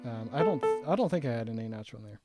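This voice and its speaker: Young man thinking aloud, raspy, halting. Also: medium pitch, animated, over loud music. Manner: halting, many ums and restarts, thinking aloud. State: voice getting raspy. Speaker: saxophonist walking through his own multitrack arrangement. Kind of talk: casual screen-share breakdown.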